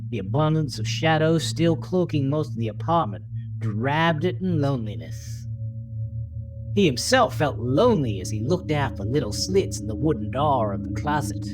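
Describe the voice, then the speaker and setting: A man narrating a horror story in a theatrical, deliberate, brooding way, as scripted audio drama. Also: mid-range voice, poor audio.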